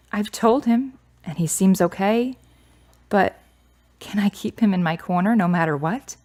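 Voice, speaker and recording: Woman playing grieving daughter, radio drama, measured, earnest, gently wry, medium pitch. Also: lightly acted. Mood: sad, reflective. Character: Charity, grieving, kind, reserved adult daughter. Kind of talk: serial radio drama acting.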